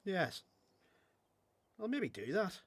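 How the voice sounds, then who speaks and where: A man's voice: high-pitched, very animated, takes it slowly, heavily acted. A young man playing an earnest, polite, questioning young prophet in a religious radio drama. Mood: curious, probing. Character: young John the Baptist, earnest, searching, polite newcomer.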